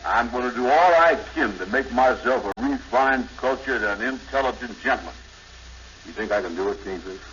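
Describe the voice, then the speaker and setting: An actor playing a gullible, slow-witted middle-aged man, earnest and simple, in 1950s radio comedy style. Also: mid-range voice, expressive, fairly bright tone, lightly acted. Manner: earnest, simple, drawn-out yeahs, ungrammatical. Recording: muffled, faintly noisy 1950s radio recording.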